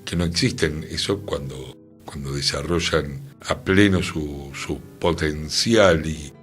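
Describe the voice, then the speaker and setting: A man's voice: a bass voice, slow. A man with an Argentine accent hosting a radio talk on culture in a musing, conversational manner. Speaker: radio host. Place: radio studio. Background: music.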